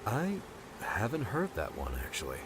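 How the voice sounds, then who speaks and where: A man's voice: mid-range voice, huge pitch swings, moderate pace, background noise. A man playing a laid-back, protective rescuer with dry humor in an audiobook. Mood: teasing. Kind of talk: audiobook character dialogue.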